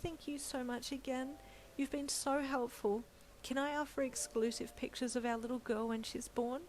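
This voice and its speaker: A gentle, emotional young expectant mother speaks in a high, soft voice, as a woman reading the story puts her on. She sounds quiet, close to tears and deeply grateful in this storybook reading. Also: steady tone, moderate pace, a little hiss or hum, close to an everyday voice, rough recording. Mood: warm, relieved.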